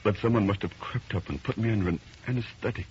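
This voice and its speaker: An actor playing a shaken, haunted husband with grave, halting, melodramatic delivery in a 1930s radio drama. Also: male voice, lowish voice, a little mellow, lightly acted. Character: shaken, haunted husband recounting a night of horror. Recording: muffled, noisy 1930s radio recording.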